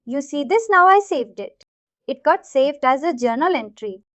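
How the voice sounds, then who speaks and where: A woman with an Indian accent giving an informal live software tutorial, explaining things repetitively and checking that listeners follow. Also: sits high, lively intonation, normal speed, no room sound, muffled recording. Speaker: software instructor.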